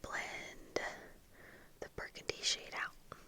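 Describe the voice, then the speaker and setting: A young woman speaking in a breathy whisper, chatting casually in ASMR style while doing her makeup, close to the microphone. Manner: slow, soft-spoken, pausing while applying makeup. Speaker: ASMR video creator. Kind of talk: whispered ASMR get-ready-with-me chat. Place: small indoor room with bookshelves. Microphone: close fluffy-covered desk microphone.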